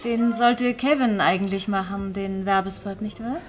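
A woman's voice: mid-range voice, fairly even intonation, normal speed, close to an everyday voice. An actress playing a polite, probing police detective in a drama, tactful and a little hesitant in her questions. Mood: curious, probing.

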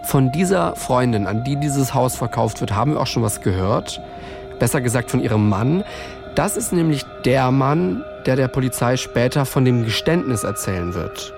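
A man's voice: medium pitch, expressive, moderate pace, creaky, music in the background. A man reading scripted true-crime narration as a podcast host.

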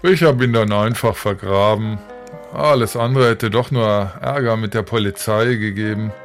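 A man's voice: fairly low pitch, normal speed, lightly acted. An actor playing a pragmatic, secretive man in an audio drama teaser. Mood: matter-of-fact. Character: pragmatic man hiding a body from police. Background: music.

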